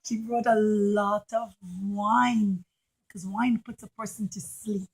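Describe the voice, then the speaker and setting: A woman's voice: medium pitch, fairly even intonation, slow pace, a little mellow, breathy edge. A woman storyteller putting on a puppet show for young children, dramatic and speaking in simple words directly to them.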